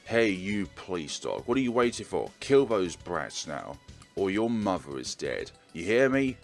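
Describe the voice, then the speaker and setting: A man voicing an enraged criminal boss from a visual novel, snarling drawn-out threats. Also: sits low, sing-song, gravelly, soft background music, full character voice. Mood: furious.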